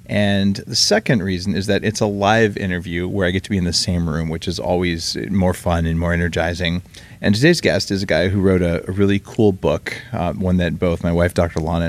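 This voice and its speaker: A man hosting a podcast, chatting casually and upbeat with quick asides, recorded in a room with his guest. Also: deep voice, vocal fry. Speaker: podcast host. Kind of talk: casual interview and ad read. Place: room in Hawaii, live with guest.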